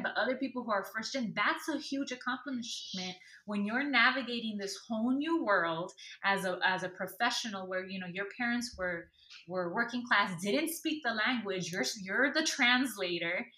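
A woman's voice: mid-range voice, normal speed, bright, forceful tone, reverberant, low-quality recording. A woman from the Los Angeles area, a podcast guest who teaches about money, explaining earnestly and leaning on "like" and "you know.". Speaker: podcast guest, finance educator. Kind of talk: casual interview chat.

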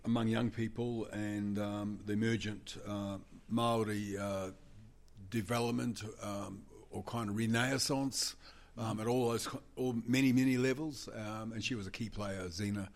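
A man speaking in a halting, soft-spoken way, full of pauses and fillers. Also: lowish voice, steady tone. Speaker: guest. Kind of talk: casual chat.

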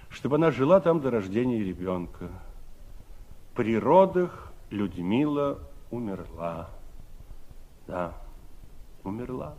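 An actor playing an ageing revolutionary veteran, wistful and kindly, speaking reflectively and emphatically in an old radio play. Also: male voice, medium pitch, a little mellow, natural voice. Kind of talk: 1960s Soviet radio play monologue and dialogue.

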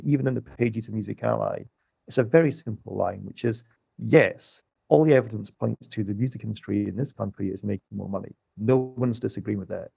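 A British man with a low, creaky voice speaks as an economist in a podcast interview. He sets out his points in an orderly, emphatic way, with rhetorical flourishes. The sound is close and dry. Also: darkish tone, no echo. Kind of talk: podcast interview, explanatory argument.

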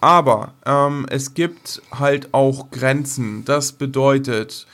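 A man's voice: medium pitch, takes it slowly, a bit bright. A male podcast co-host with a mid-range voice. He talks casually and off the cuff, in a colloquial way, dropping English slang into his sentences. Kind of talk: casual unscripted podcast chat.

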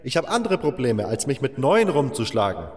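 A man's voice: mid-range voice, very animated, fairly quick, a little mellow, big-room sound, pitched above the performer's own voice, natural voice. A man voicing an irritable, impatient male mine overseer who barks and scolds, in an audiobook. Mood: irritated, impatient. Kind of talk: audiobook character voice.

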